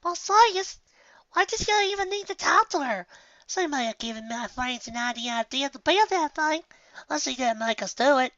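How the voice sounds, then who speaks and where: A narrator voicing a young filly pony, an earnest, questioning little sister in a storybook reading, with a thin, breathy voice. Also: high voice, expressive, normal speed, pushed and bright, dry recording, dull audio, voice pushed up. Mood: questioning, protesting.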